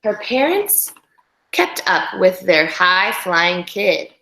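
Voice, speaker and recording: A woman reading a storybook aloud to young children in an expressive, teacherly way, with instructive asides to her listeners. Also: on the low side, takes it slowly, noisy background. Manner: animated, instructional, talks directly to young listeners. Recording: echoey room.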